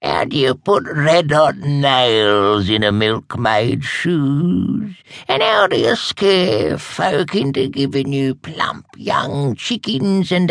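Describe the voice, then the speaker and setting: A man reading aloud and voicing a sneering, croaking old witch woman. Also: on the high side, fairly bright tone, no echo, pitched above the performer's own voice, full character voice. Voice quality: croaking, growly. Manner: sneering, snappish.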